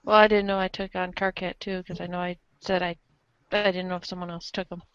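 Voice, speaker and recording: A woman's voice: fairly low pitch, flat delivery, quick pace, clear, resonant tone, muffled recording. A woman in casual, silly banter as a cast member of a readers' theater podcast.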